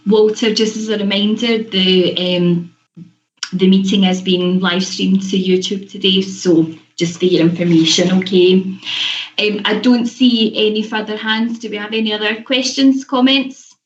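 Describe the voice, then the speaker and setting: A woman's voice: mid-range voice, fairly even intonation, unhurried. A woman chairing a formal committee meeting, moving business along and addressing those present. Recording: echoey, muffled video-call audio.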